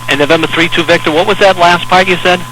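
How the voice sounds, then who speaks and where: An American man working as an air traffic controller, giving measured, hesitant, reassuring step-by-step instructions over aviation radio. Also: sits high, steady tone, pushed and bright, rough recording. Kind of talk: instructional radio talk to a pilot in an emergency.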